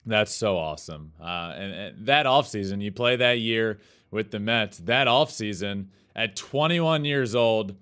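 Smooth-voiced, lower-pitched male interviewer. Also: lively intonation, a little slow, fairly bright tone. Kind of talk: conversational sports interview.

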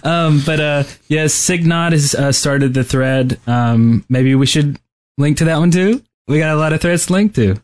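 A man's voice: on the high side, moderate pace. A man co-hosting a gaming podcast, speaking in casual conversation. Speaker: podcast co-host.

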